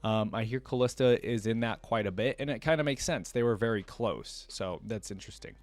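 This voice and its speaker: Low-voiced man, dry close mic, casual commentary. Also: a little fast, dry recording. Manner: casual, interjects reactions between read passages. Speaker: reaction video host. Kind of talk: casual commentary while reading lore aloud.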